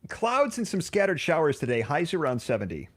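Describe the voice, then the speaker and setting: A man's voice: fairly high pitch, very animated, brisk. A man co-hosting morning radio from home, cracking dry quips and reading the weather forecast. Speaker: weather presenter and co-host. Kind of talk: casual morning radio banter. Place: at home, remote.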